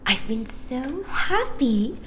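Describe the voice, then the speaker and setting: A sweet young star-girl from a storybook, as a woman reader plays her. The voice is high and airy, lifting and swooping with eager, gushing emphasis. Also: very animated, slow, noisy background, exaggerated voice. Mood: soft, wistful.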